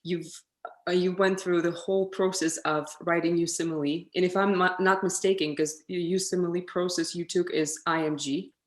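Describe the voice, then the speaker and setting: Woman host, kinda low voice, friendly and a bit halting, echoey room. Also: steady tone. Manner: friendly, hesitant with restarts. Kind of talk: casual interview.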